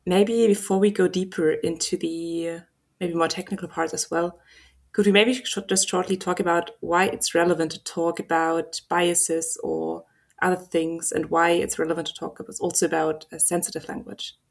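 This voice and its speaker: A woman's voice: on the low side, flat delivery, normal speed. A woman interviewing a guest, asking polite, hedged questions.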